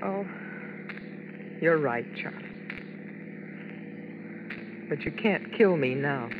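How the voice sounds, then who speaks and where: An actress playing a frightened, clinging showgirl wife in a 1950s radio drama, halting and pleading. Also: low-pitched, lively intonation, noisy background. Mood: anxious pleading. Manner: pleading, halting, repeating herself. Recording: dull 1950s radio broadcast with music.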